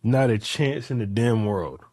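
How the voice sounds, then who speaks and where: A man's voice: mid-range voice, measured pace, dark tone, slightly rough, dry recording. A man in his late twenties chatting casually and rambling through hypotheticals, repeating phrases emphatically with profane slang. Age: late 20s.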